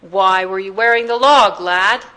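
A woman voicing a swaggering English circus owner in a storybook, booming and theatrical with teasing politeness. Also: sing-song, a little slow, sharp tone. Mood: amused, commanding.

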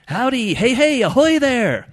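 Storybook shrimp: tiny excitable creature, very high voice, lively swoops, insistent and ominous. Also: male voice, unhurried, heavily acted. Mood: cheery, hailing. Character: excitable chorus of small bait shrimp.

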